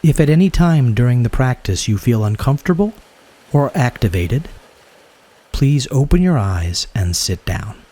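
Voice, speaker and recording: A man guiding a yoga nidra meditation in a soothing, unhurried way, speaking in short phrases. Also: mid-range voice, big pitch swings, warm tone. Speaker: guided meditation teacher.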